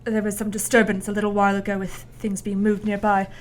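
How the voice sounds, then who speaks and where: A woman acting a matter-of-fact, analytical Victorian asylum doctor in a radio play, using brisk, technical wording. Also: medium pitch, monotone, a little hiss or hum, lightly acted. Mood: puzzled, analytical.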